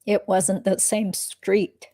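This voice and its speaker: Woman acting female witness, deposition reading, guarded, terse measured answers, soft dark timbre, mid pitch. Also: level tone. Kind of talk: dramatic reading of a legal deposition transcript.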